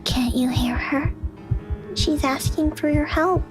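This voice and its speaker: A woman voicing a young girl in a horror visual novel, childlike, innocent yet eerie, with a drawn-out delivery. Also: high voice, music in the background, voice pushed up. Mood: eerie, accusing.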